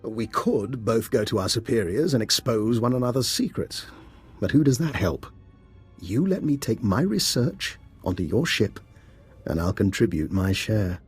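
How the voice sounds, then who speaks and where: A scheming scientist spy in a video game. His husky, fairly low voice is formal and polite, always calculating and persuading. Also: sing-song, moderate pace, faint music behind, heavily acted. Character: cunning male scientist agent, smooth-mannered, scheming, politely persuasive. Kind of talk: video game cutscene voice acting.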